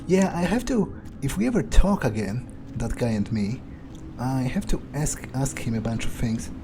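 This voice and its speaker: A man's voice: mid-range voice, lively intonation, moderate pace, background noise. A man doing in-character game commentary, thinking aloud in a musing, hesitant, casually sweary way. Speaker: video game player narrating in character.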